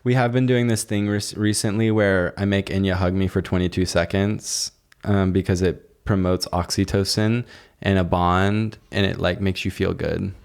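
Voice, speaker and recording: Very low-voiced man, casual podcast chat. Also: moderate pace, gravelly, clean tone. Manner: deadpan, crude joking. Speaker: podcast co-host.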